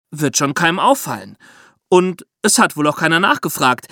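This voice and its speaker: A man's voice: high-pitched, sharp tone, no echo, studio quality. A man hosting a podcast, telling a true-crime story in a colloquial, explanatory way. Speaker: podcast host.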